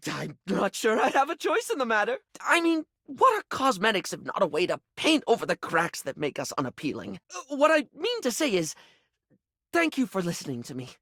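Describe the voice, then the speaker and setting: A man's voice: high-pitched, huge pitch swings, a little fast, bright, forceful tone, no room sound, clean studio sound, full character voice. A man voicing a flamboyant, chatty living makeup kit in a video game, gushing and rambling, camp, insecure and eager to please. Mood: defensive, wistful. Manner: effusive, camp, gushing, rambling, calls people darling. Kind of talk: video game character voice acting.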